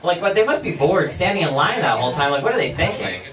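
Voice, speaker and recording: A young man performing a stand-up comedy set on a small bar stage, talking casually and dropping into quick voiced asides. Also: on the high side, very animated. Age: young adult. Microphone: handheld mic, far camera. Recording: echoey bar from far off, crowd laughter.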